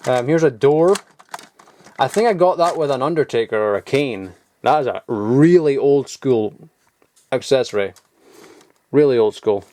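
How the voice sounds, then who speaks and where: A man's voice: medium pitch, expressive, measured pace, pauses often, warm tone. A young man rambling casually as he shows off old collectible toys in his home loft, offhand and chuckling at himself. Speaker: toy collector vlogger. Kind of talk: casual show-and-tell.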